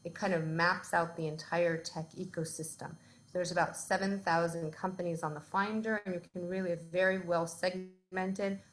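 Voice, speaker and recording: A woman's voice: lowish voice, steady tone, normal speed, low-quality recording. A woman giving a talk as a guest on a video call, chatty and filled with ums and likes. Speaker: guest speaker.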